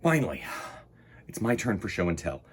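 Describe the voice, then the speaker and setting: A man hosting story time, reading a children's picture book aloud in an expressive, emphatic way and talking directly to young listeners. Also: low voice, moderate pace, a little hiss or hum.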